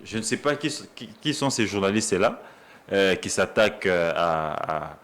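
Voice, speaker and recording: A man's voice: low voice, animated, normal speed, a bit bright. A man with a Congolese accent, a journalist arguing emphatically as a debate guest, often repeating his phrases for weight. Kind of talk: animated political debate.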